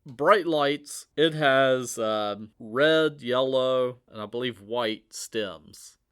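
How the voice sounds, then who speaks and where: A man hosting a casual gardening podcast in a chatty, easygoing way, at an everyday middle pitch. He drifts into tangents and chuckles at his own asides. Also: extremely slow, a bit bright, smooth. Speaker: host.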